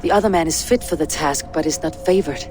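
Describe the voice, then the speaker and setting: A woman playing a stern, level-headed Viking warrior in a video game, speaking in a grave, measured, commanding way. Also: deep voice, noisy background, close to an everyday voice. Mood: solemn, searching. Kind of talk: dramatic video game acting.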